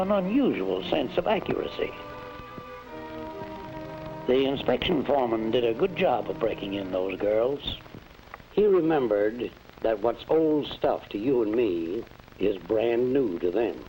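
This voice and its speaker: An American factory manager in a 1940s instructional film. He explains things patiently and condescendingly, in a measured, didactic voice of medium pitch with small hesitations. It is a vintage film soundtrack with faint music. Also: dark tone, low-quality recording. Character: patient, patronizing factory boss instructing a foreman.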